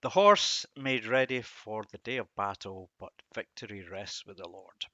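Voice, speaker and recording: A man giving an informal devotional commentary on verses, musing and halting, with self-deprecating asides. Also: lowish voice, bright, forceful tone, dry recording, lo-fi sound. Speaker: host of a Bible reading series.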